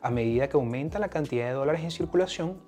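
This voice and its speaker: A man's voice: a little high, quick pace, warm tone. A man with a Venezuelan accent hosting an explanatory podcast in a partly scripted monologue. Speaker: podcast host.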